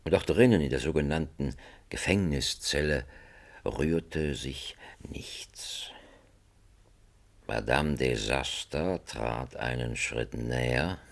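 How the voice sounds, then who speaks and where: Male narrator, bottom-deep bass, gravelly, slow, wry, deliberate, radio play storytelling. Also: exaggerated voice. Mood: wry, suspenseful. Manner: deliberate, drily suspenseful. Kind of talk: scripted radio drama narration.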